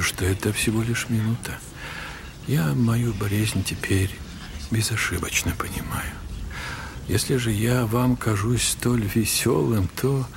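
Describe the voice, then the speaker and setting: An actor playing a gentle, wise old monastery elder in a Russian audio drama, speaking in a measured, consoling way. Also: male voice, lowish voice, gravelly, background noise. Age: older.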